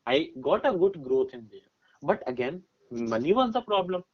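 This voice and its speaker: Male, twenties, Indian accent. Fairly high-pitched, emphatic podcast guest talking through advice with personal examples; dull recording. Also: very animated, moderate pace, husky. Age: 20s. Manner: emphatic, explanatory, often checking with "right?". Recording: dull, muffled lo-fi audio.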